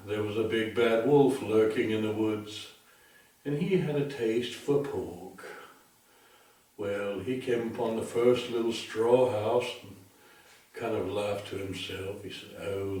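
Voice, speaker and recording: A man telling a darkly comic bedtime story in a deliberate, theatrical, mock-sympathetic way. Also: fairly low pitch, warm tone. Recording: very echoey room, distant microphone.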